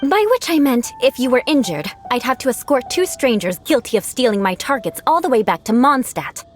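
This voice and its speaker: Woman acting a proud vengeful young noblewoman, anime game, highish voice, formal, music underneath. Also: lively intonation, a little fast, natural voice. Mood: cool, prickly. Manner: haughty, formal, theatrical. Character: haughty young noblewoman swordfighter, prickly and vengeful.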